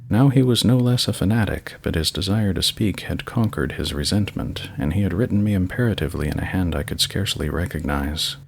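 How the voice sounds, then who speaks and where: A man narrating in a measured, solemn and slightly dramatic scripted storytelling style. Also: low voice, vocal fry. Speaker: narrator, channel host.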